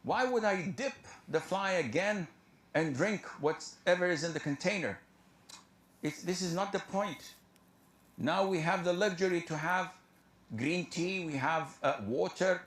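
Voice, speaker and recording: A man's voice: high voice, measured pace, a bit bright. Earnest man preaching in an echoey room. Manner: earnest, lecturing. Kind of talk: religious lecture.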